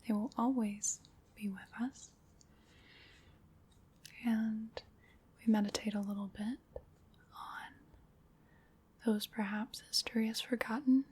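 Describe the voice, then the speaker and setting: A woman playing a gentle, solemn witch in an ASMR roleplay, whispering in a breathy, soothing, ceremonial way. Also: a little high, heavily acted. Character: gentle, solemn witch leading a Samhain ritual.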